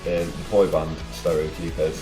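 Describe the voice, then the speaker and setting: A man in his twenties from Poland, a band guitarist telling stories in a relaxed, casual interview. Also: rumbling low, normal speed. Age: 20s. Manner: relaxed storytelling, laughs easily. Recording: echoey room with music behind.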